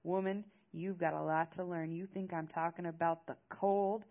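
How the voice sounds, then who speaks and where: A woman voicing a gruff, protective old rural man in an audiobook, with a folksy drawl. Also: high-pitched, fairly even intonation, normal speed, phone-quality sound. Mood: storming mad. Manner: folksy drawl with rustic grammar.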